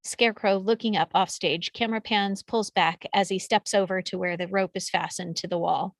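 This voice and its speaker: Woman narrator, medium pitch, scripted stage directions, close dry recording. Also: steady tone, moderate pace, vocal fry, no room sound.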